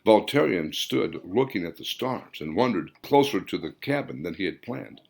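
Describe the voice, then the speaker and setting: Male audiobook narrator, fiction. Fairly deep voice, very lively pitch movement, theatrical reading. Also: moderate pace, gravelly. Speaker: author reading own audiobook.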